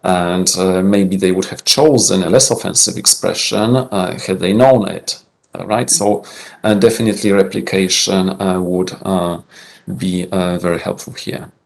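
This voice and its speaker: A man answering audience questions at an academic conference, hesitant and measured, with many fillers. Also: deep voice, steady tone, creaky, a little mellow.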